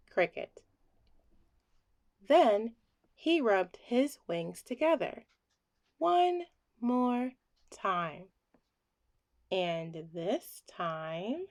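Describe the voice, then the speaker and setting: Very slow, animated woman storyteller. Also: a little high. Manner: slow deliberate phrasing with pauses, hushing on refrains. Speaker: children's story reader. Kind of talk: expressive read-aloud bedtime storytelling.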